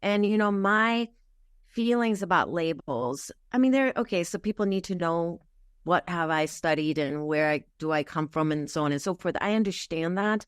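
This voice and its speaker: A woman's voice: lowish voice, animated, moderate pace, clean tone, dry recording, studio quality. A middle-aged woman from Japan, a wellness practitioner, earnestly explaining ideas step by step with many fillers. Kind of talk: explanatory interview talk.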